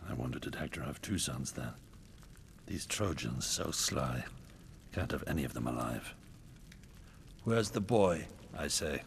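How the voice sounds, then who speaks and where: A brutish warrior king in a radio drama, his voice sitting very low with a gravelly grind. He talks coarsely and boastfully, cold and self-assured, with a faint hiss beneath. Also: animated, a little slow, long pauses, full character voice. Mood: menacing. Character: brutal, arrogant warrior king, middle-aged man. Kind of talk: first-person radio drama narration.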